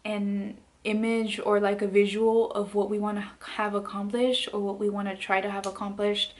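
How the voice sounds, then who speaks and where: A woman in her late twenties talking candidly to camera in her bedroom, soft and hesitant with many fillers. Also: medium pitch, flat delivery, moderate pace. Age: late 20s. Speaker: beauty vlogger. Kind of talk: candid personal vlog. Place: bedroom, indoors.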